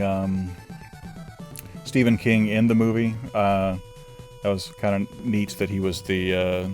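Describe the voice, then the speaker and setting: A man giving a casual, rambling film review, self-deprecating and loose, with plenty of fillers. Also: fairly low pitch. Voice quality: says his own voice is normally monotone. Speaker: movie reviewer.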